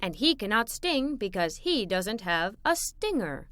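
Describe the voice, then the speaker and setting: A woman's voice: mid-range voice, very animated, a little slow, fairly bright tone, no room sound, studio quality, voice pushed down. Woman playing Buzz, a hearty, friendly beekeeper man. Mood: friendly, enthusiastic. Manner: hearty, enthusiastic, welcoming. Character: large, jolly, friendly man who farms bees.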